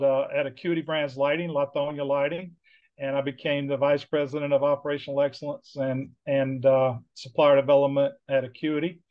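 A middle-aged man from Georgia with a Southern accent, talking casually as an interview guest over a video call, with many fillers. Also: on the high side. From Georgia, USA. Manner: friendly, rambling with many fillers. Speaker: guest, business consultant. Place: home office with bookshelves. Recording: dull lo-fi video call audio.

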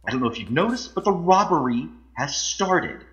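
A man's voice: fairly high pitch, sing-song, normal speed, muffled recording. A man playing a bossy, self-important bank robber gang leader in a comedy audio drama, theatrical, sarcastic and foul-mouthed. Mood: exasperated. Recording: loud music behind the voice, roomy echo.

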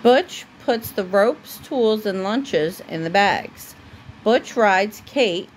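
Slow-reading woman, faint background noise. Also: medium pitch, animated, rough recording. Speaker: mother reading a bedtime story to her child. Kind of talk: reading a children's storybook aloud.

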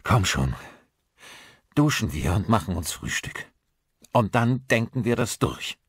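A man playing a calm husband in an audiobook, soothing, measured and earnest as he tries to stay rational. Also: fairly low pitch, breathy edge, dry recording, clean studio sound, pitched above the performer's own voice, exaggerated voice. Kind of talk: dramatised audiobook dialogue.

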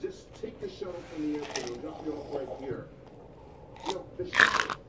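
A man's voice: on the high side, moderate pace, distant mic, close to an everyday voice. A man playing a harried family man in a TV sitcom, heard from a television. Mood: exasperated. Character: harried sitcom dad juggling family errands. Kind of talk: TV sitcom acting. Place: TV playing across a living room. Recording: echoey, very noisy TV playback from afar.

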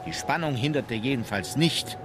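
An actor playing a sober naval officer who recalls a voyage in formal, measured radio-play narration. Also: male voice, medium pitch, noisy background, natural voice. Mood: composed, factual. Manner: formal, composed. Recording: music bed under the voice.

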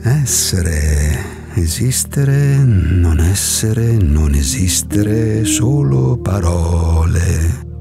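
An actor in a radio drama playing an eccentric, disillusioned male recluse who muses philosophically with theatrical rhetorical questions, in a husky voice. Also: low-pitched, expressive, drawn-out words, over loud music, heavily acted. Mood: impassioned.

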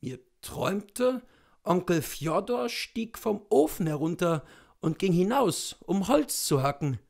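A man in his thirties reading a literary story expressively, with deliberate dramatic pauses. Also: high-pitched, sing-song, creaky, a little mellow. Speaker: literary reader, narrator. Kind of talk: expressive literary audiobook narration. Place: indoors in front of bookshelves.

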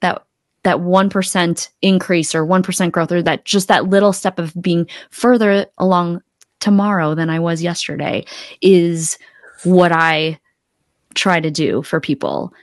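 A woman with a slightly low voice talks as a coaching guest on a podcast. Her manner is earnest and reflective, and the sound is close and very dry, with no room echo. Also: steady tone, measured pace. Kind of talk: casual interview chat. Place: indoor home room on a video call. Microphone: close desktop podcast mic.

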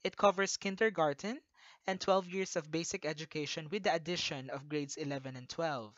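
A speaker from the Philippines with a Filipino accent, giving an earnest, measured slideshow lecture. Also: mid-range voice, no room sound. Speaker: presenter of a recorded lecture. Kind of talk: prepared slideshow narration. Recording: dull lo-fi voice-over, dry.

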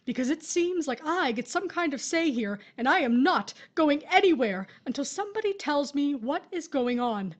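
An actress playing a distraught but stubborn middle-aged widow in an audio horror drama. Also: female voice, high voice, animated, moderate pace, hardly any pauses. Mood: indignant.

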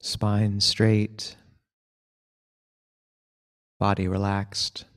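Low, even-toned man guiding meditation. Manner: unhurried, gentle instruction with long pauses. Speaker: meditation teacher. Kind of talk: guided meditation instruction.

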